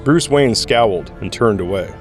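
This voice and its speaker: A man's voice: fairly low pitch, expressive, moderate pace. A man reading scripted narration for an audio drama. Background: background music.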